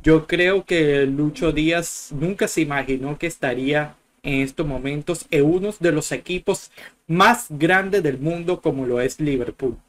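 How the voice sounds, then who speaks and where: A man's voice: fairly high pitch, unhurried. Young man chatting about football clips. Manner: chatty, rambling with restarts. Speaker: football channel host. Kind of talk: casual video commentary. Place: small room at a desk.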